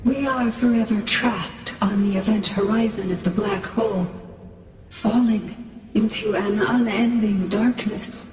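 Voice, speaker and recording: Cursed female spirit for a game, acted by a woman: weary, mournful, slow, swimming in long reverb. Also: mid-range voice, a crowd in the background, close to an everyday voice. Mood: mournful, grave. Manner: solemn, slow, poetic lamenting. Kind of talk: video game character acting. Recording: distant, long echoing hall, faint music.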